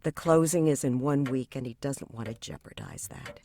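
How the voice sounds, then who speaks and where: A woman's voice: extremely low pitch, moderate pace, mellow, slight background noise. A woman playing an outspoken, defensive young therapy client in an audio drama, with a frank, sardonic manner. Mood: defensive, guarded.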